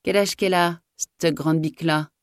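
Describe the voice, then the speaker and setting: A woman voicing an old male farm master in a storybook reading, with blunt, bossy, rustic speech and a quick temper. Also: on the low side, a little mellow, dry recording, studio quality, natural voice. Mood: brusque. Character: old, jovial, hot-tempered male farm master who bellows orders.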